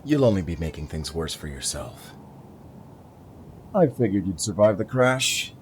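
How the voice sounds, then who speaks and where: A man voices a cool, menacing alien raider in a science fiction audio drama. His deep voice moves slowly and swoops in pitch, politely threatening, with faint noise behind. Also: sing-song. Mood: coolly threatening. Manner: mock-polite, menacing, unhurried. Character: cool, cocky male alien cargo thief leader.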